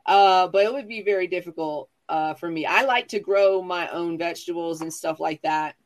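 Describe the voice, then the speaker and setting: A man's voice: sits high, moderate pace. A man in his forties telling casual comic stories as a chat show guest, sassy, dramatic and self-mocking. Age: 40s.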